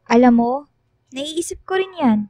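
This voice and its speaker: A woman's voice: sits high, lively intonation, a little slow, mellow, no echo, clean studio sound. A woman voicing a sympathetic, level-headed teenage schoolgirl in a casual radio drama conversation.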